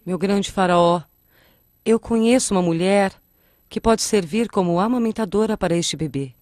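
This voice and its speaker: Animated tale: actress as young girl, deferential yet eager, medium pitch, dry recording. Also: normal speed, dry recording, natural voice. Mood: respectful, eager. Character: respectful, eager young girl addressing a king. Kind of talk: animated religious story acting.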